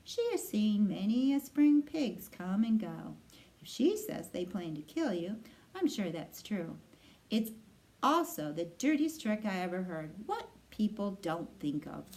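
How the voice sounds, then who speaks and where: A woman's voice: medium pitch, big pitch swings, mellow. Woman as a composed, sensible lady spider. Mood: calm, thoughtful. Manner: brisk, thoughtful. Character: female spider, composed, sensible, briskly commanding.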